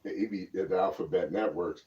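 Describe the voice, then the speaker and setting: Male political talk co-host, low register, opinionated, rambling, emphatic. Muffled recording, off-mic sound. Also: brisk, mellow. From born in Germany. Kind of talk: casual political commentary. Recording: muffled lo-fi audio, distant microphone.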